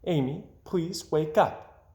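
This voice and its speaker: A man's voice: fairly high pitch, takes it slowly, dark tone, pitched above the performer's own voice. A man voicing an exasperated, fretting mother from a children's picture book. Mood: gentle, coaxing. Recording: roomy, echoing sound.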